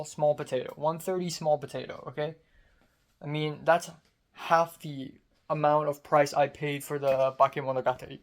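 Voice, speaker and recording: Young guy from hong kong, throat all sore, sarcastic swearing late night vlog rant. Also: fairly high pitch. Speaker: vlogger.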